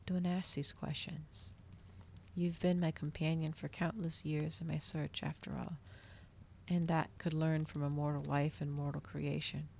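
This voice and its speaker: A woman voicing a brooding, protective male vampire in a storybook, pleading in a hushed, weary way. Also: deep voice, level tone, measured pace, vocal fry, slight background noise. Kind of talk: ASMR storybook character reading.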